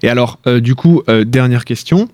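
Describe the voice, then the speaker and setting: A male radio host asks measured questions, often pausing on fillers. His voice is mellow and relaxed, recorded close and dry. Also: mid-range voice, no room sound. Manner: measured questions with many hesitations. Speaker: radio interviewer. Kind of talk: radio interview.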